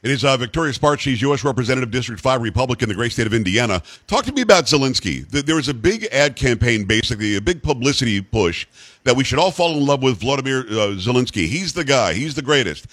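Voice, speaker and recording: Brisk male radio host, plain mid-range voice, bone-dry studio sound, blunt and folksy. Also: mid-range voice, animated, fairly bright tone, no room sound. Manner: blunt, folksy, rapid questioning. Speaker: radio talk show host. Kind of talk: political talk radio interview.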